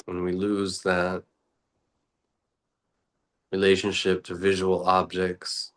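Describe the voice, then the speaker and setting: A man speaking as a meditation teacher, guiding reflectively and unscripted in short, contemplative phrases with long pauses. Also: low-pitched, monotone.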